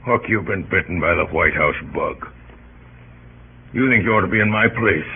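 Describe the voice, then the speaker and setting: An actor playing a grave, resolute wartime American president in a solemn, measured, oratorical 1930s radio drama style, with a husky voice. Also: male voice, sits low, exaggerated voice. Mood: quietly probing. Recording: muffled, phone-like 1930s radio recording.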